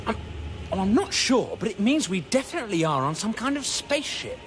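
An actor playing a breezy, excitable space hitchhiker with an eager, brisk manner, in a comic science-fiction radio drama. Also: male voice, high voice. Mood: breezy, puzzled.

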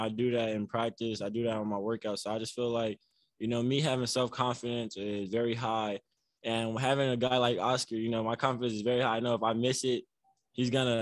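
Soft-spoken young man at a press table. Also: mid-range voice, no echo. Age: young adult. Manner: soft-spoken, easygoing, many fillers. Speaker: college basketball player. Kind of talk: press conference answers. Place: press room before a banner. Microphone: table mic on a stand.

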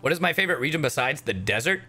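A man's voice: on the high side, animated, rapid-fire, bright, forceful tone, soft background music. A young man livestreaming with casual game commentary, chatty, joking and profane.

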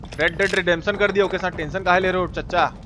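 A man's voice: sits high, steady tone, normal speed, bright, forceful tone. A man with a Bihari accent giving exclamatory, slangy, sweary live game commentary and greeting his chat. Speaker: game streamer. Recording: noisy, game sounds behind.

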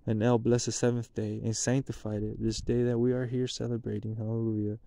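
Dark-toned man leading outdoor prayers. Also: medium pitch, level tone, a little fast, vocal fry, no room sound. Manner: prayerful, rising into loud chanted blessings. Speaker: leader of a Sabbath blessing. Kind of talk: spoken prayers and blessings. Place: outdoors at night at a picnic table.

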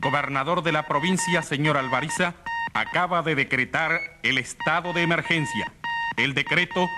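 A man's voice: on the high side, lightly acted. An actor playing a radio newsreader, a man reporting a catastrophe in a formal, grave bulletin for a radio drama. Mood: grave, urgent. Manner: formal, grave, measured phrasing. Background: music.

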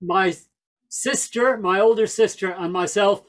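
High-voiced older man spinning yarns. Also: measured pace, fairly bright tone. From Northern Ireland, raised in England. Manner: chatty, wry storyteller with asides. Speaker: folk singer and speaker. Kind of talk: storytelling talk on a video call. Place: small room at home. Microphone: headset mic on a video call.

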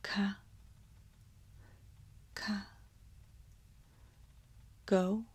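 A woman in her thirties doing a soft-spoken, partly whispered ASMR exam role play, giving slow, gentle instructions close to the microphone. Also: medium pitch, level tone. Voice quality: breathy, whispery. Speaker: ASMR performer playing an examiner.

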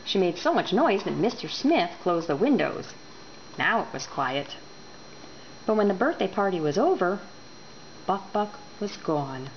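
Expressive woman reading a storybook. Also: mid-range voice. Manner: expressive, deliberate. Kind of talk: children's book read aloud. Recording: dull home recording with background noise.

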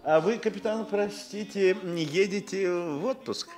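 An actor playing a genial, sly landowner of about sixty in a radio drama, chatty and hesitant with veiled menace. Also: male voice, high voice, a little slow, darkish tone, faint music behind. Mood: affable, probing. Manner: chatty, hesitant, chuckling, insinuating. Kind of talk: Soviet radio drama acting. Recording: noisy vintage radio drama recording.

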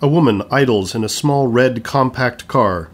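A man's voice: mid-range voice, moderate pace, breathy edge. A man narrating an audio play, reading scripted stage directions. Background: music.

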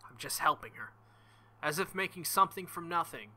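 Bright-voiced man reading a visual novel. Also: on the high side, lively intonation, fairly quick. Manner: joking, crude asides between narrated passages. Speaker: let's play host.

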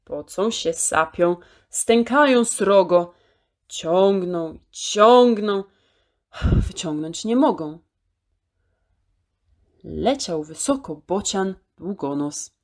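Lady reading a kids rhyme really expressively, takes her time, voice going up and down a lot. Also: mid-range voice. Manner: animated, theatrical, drawn-out key words. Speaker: storyteller for young children. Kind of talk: expressive children's poem recitation.

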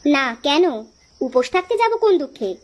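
A woman voicing a blunt, sharp-tongued village wife in a cartoon, with a scolding manner. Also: high voice, animated, moderate pace. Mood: sulky, reproachful. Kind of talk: cartoon dialogue acting.

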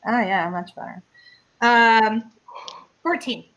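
A woman's voice: on the high side, slow, fairly bright tone, low-quality recording. A woman playing a tabletop game on a video call, cheerful and eager. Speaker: player.

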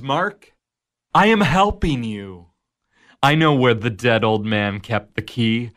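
A man playing a slow, mocking Devil. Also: medium pitch, a bit bright, smooth, lightly acted. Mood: coaxing. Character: the Devil, smooth, amused male tempter. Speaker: narrator and tempter. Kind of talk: radio drama acting.

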